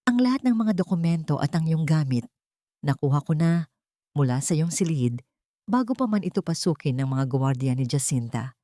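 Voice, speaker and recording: A woman with a Filipino accent voicing a fearful, loyal elderly cook who speaks in a hushed, urgent way. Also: deep voice, lively intonation, moderate pace, no echo, clean studio sound.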